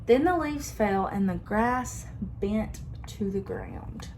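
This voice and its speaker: A young woman teacher reading a picture book aloud to her class in a slow, expressive storytelling manner. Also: mid-range voice, background noise.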